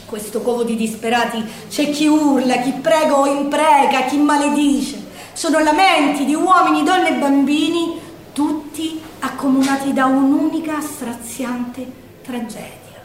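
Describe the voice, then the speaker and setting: A woman acting a shaken young partisan caught in a wartime air raid, in an emotive theatrical monologue with a Roman Italian accent. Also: high-pitched. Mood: dazed, grave. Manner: emotive, hushed to urgent, with weighted pauses. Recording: roomy echo, distant microphone.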